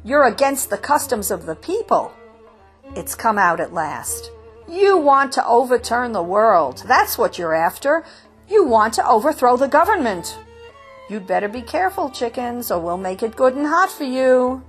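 A woman's voice: a little high, sing-song, normal speed, faint music behind, pitched above the performer's own voice. A woman voicing self-important male householders lecturing the chickens. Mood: pompous. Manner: pompous, lecturing. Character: self-important pious village householders, men.

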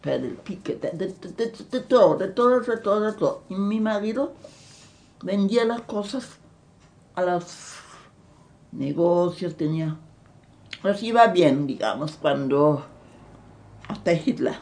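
An elderly woman with a German accent, recalling her past in an interview, halting and repeating words as she searches for them. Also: on the low side, expressive, darkish tone. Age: older. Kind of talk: recounting memories in an interview.

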